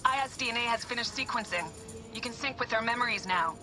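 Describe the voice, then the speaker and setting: A woman voicing an upbeat technical assistant in a video game, brisk and conversational. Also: a little high, close to an everyday voice. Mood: helpful. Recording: music behind the voice.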